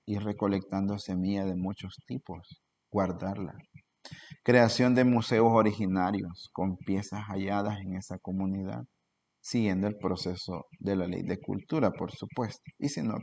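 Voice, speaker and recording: A man's voice: lowish voice, a little mellow. A man from El Salvador, giving an earnest informal talk full of rhetorical questions as a cultural promoter. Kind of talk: informal spoken lecture. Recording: dull lo-fi recording.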